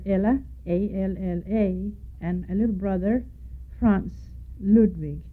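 An older woman from Denmark with a Danish accent, recalling her life in an oral history interview and spelling out names letter by letter. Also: fairly low pitch. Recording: tinny phone-like lo-fi tape.

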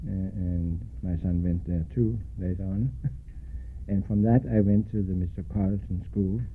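An older Danish-accented man speaks low and unhurried, often stopping to spell out names. The sound is thin and phone-like, with a faint hiss under it. He is being interviewed about his life. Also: fairly even intonation. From Denmark. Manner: slow, spells out names letter by letter, many hesitations. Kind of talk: oral history interview. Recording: tinny lo-fi recording with faint hiss.

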